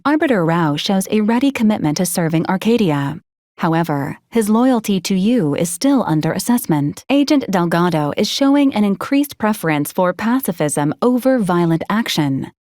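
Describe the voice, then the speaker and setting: A woman voicing a polite, literal-minded ship robot in a video game, giving formal status reports in a clinical, deadpan manner with dry menace. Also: lowish voice, normal speed, no room sound, natural voice.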